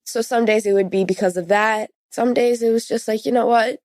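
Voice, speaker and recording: A woman's voice: on the high side, steady tone, fairly quick, a little mellow, dry recording. A young woman in her late teens talking frankly and informally about her own experiences as an interview guest, often saying "like". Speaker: podcast guest. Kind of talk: personal interview, recounting experiences.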